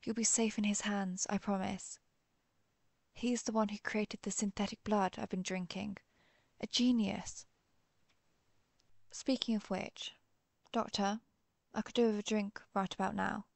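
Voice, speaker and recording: A woman playing a protective vampire who hunts her own kind, speaking in a measured, confiding way in an intimate audio roleplay. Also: medium pitch, fairly even intonation, vocal fry, dry recording. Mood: hungry, self-reproachful. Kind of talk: intimate scripted audio roleplay addressed to the listener.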